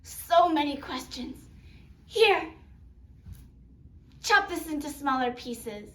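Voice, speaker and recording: A woman's voice: high voice, expressive, takes it slowly, pushed and bright, full character voice. A woman playing a secretive, brusque grandmother who instructs her granddaughter in firm, curt phrases.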